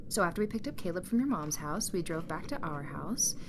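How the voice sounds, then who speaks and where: A woman playing a gentle, tired young mother and caring wife in a naturalistic audio drama. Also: lowish voice, animated, brisk, background noise. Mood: relaxed, chatty.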